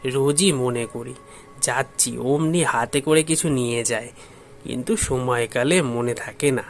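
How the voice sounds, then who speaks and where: A man's voice: mid-range voice, a little slow, soft background music, close to an everyday voice. A man playing a humble, anxious old father in an audio story, speaking hesitantly and deferentially. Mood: sheepish, deferential. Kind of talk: audio story dialogue acting.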